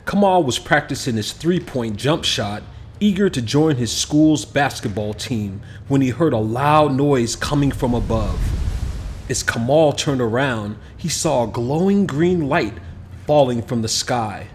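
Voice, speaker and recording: A man reading a children's picture book aloud as an expressive scripted narration, with music behind him. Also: mid-range voice, a little slow, slight background noise. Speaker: storybook narrator. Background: background music.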